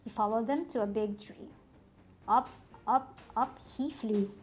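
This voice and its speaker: A woman reading a children's picture book aloud expressively, in a deliberate, animated storytelling manner. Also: a little high.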